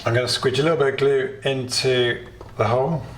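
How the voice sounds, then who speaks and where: A British man hosting a DIY tutorial, a little hoarse as he is losing his voice, chatty and plain as he explains each step with small asides. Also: mid-range voice, steady tone, fairly quick, reverberant, slight background noise. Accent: British English. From United Kingdom. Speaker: DIY video host. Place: home workshop or kitchen.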